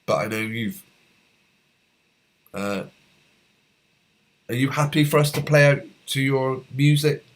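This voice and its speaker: A man hosting a live stream, reading out viewers' comments in a halting, rambling way, his voice going hoarse as a cold comes on. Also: medium pitch. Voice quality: slightly hoarse. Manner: rambling, halting, many fillers.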